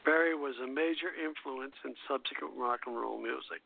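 A man hosting a radio show, reading a music history script aloud in a halting, stumbling way over a phone line. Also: medium pitch, vocal fry.

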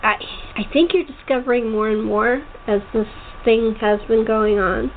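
A woman hosting a casual interview, speaking haltingly with repeated and restarted phrases. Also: a little high, steady tone. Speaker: talk show host. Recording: tinny phone line with clear background noise.

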